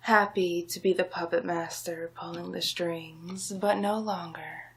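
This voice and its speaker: A woman playing a scheming, power-hungry royal vizier in an ASMR villain roleplay, speaking softly and deliberately with theatrical menace and often dropping into a whisper. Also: lowish voice, steady tone, exaggerated voice. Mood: condescending, ambitious. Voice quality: soft, breathy, half-whispered.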